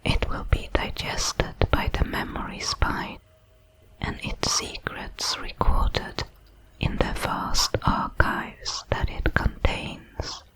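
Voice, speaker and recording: A solemn, curious alien shard of a hive mind, played by a woman, speaks in a sci-fi ASMR audio drama. The delivery is a bright, breathy whisper, extremely slow and deliberate. It halts over its words as if its mind were new. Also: poor audio. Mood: solemn wonder.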